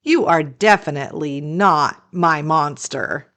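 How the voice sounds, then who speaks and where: A woman reading a picture book aloud to children as a storytime host, in a deliberate storyteller's delivery. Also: sits low, background noise.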